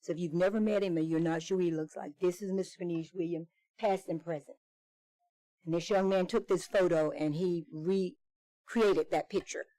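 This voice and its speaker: A woman's voice: lowish voice, fairly even intonation, moderate pace, warm tone, no echo, poor audio. A woman speaking for a committee in an informal public presentation, repeating and rephrasing for emphasis. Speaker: committee spokesperson. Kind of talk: informal public introduction speech.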